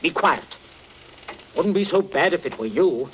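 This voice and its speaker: A bossy middle-aged father, lively swooping delivery, tinny old broadcast. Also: fairly high pitch, unhurried, slight background noise. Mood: scolding. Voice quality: stern, commanding. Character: stern middle-aged English father, domineering. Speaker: family man. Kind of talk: 1940s radio acting.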